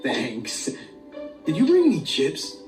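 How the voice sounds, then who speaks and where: An actor voicing an easygoing, hungry young cheetah who turns wary. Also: male voice, medium pitch, wildly swooping pitch, slow pace, recorded from a distance, music in the background. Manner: easygoing, suspicious.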